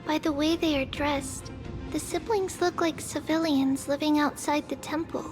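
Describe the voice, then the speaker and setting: A woman's voice: high voice, fairly even intonation, normal speed, close to an everyday voice. A woman playing a thoughtful, composed young observer in a video game, telling things reflectively. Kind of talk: game voice acting, reflective storytelling. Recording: music behind the voice.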